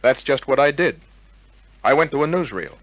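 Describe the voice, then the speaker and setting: An actor playing a wisecracking, suspicious private detective in 1950s radio crime drama style, with clipped, sardonic tough talk. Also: male voice, medium pitch, expressive, normal speed, fairly bright tone, no room sound, close to an everyday voice, low-quality recording. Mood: dry narration. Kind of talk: 1950s radio crime drama acting and first-person narration. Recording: old radio drama recording with music.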